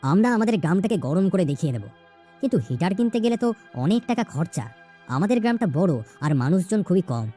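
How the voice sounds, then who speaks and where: A woman's voice: on the low side, mellow. A woman with a West Bengal accent voicing a poor, humble village man in a cartoon, earnest and beseeching.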